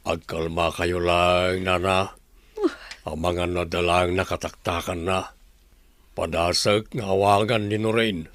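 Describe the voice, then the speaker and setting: Guy acting earnest young man in an audio drama, low forceful voice, really dry sound. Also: level tone, slow, no echo. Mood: concerned. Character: concerned young man searching for his missing friend.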